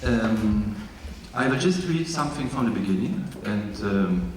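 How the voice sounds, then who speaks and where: A man's voice: on the low side, expressive, distant mic, a little hiss or hum. German-accented man in an echoey hall. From East Germany. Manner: careful, slightly halting reading with jokey asides. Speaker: author reading his memoir. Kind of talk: live literary reading. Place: large event hall.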